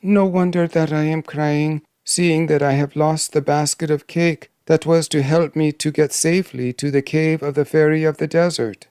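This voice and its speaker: A man's voice: a little high, normal speed, a little mellow, no room sound, clean studio sound, voice pushed up, natural voice. A man voicing a proud young fairy tale princess with a plaintive, haughty manner, in a storybook reading. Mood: sorrowful.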